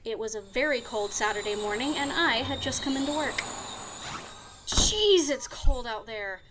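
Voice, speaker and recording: A woman's voice: a little high, animated, moderate pace, very noisy, lightly acted. A woman playing a brash, sarcastic pony radio DJ with a big ego in a comic audio drama. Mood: storytelling. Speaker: radio host. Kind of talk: comic audio drama with first-person narration.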